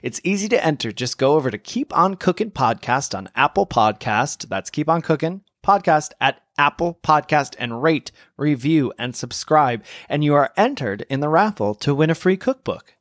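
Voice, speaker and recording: Lively, upbeat male podcast host. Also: on the high side, moderate pace, hardly any pauses, no room sound, clean studio sound. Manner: upbeat, chatty, laughs often. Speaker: podcast co-host and cookbook author. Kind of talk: casual chat.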